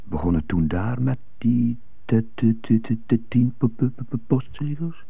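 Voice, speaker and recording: An actor playing a bitter, tormented man who stutters, brooding in a halting radio drama monologue. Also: mid-range voice, expressive, heavily acted. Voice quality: stuttering, husky. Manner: brooding, halting, resentful muttering. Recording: muffled, roomy, narrow-band old radio recording.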